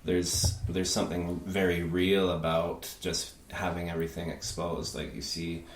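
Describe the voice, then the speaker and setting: A man in his thirties who grew up in Peru, a podcast guest speaking haltingly with many fillers and restarts. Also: deep voice, level tone. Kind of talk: casual conversation. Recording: echoey room with faint noise.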